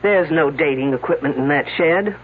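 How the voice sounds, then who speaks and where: A woman's voice: deep voice, normal speed, slightly rough. An actress playing a brash, shrewd woman detective in a radio drama, sardonic and commanding. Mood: mocking, confident. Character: brash, wisecracking female detective, shrewd and sarcastic. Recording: muffled old radio broadcast recording.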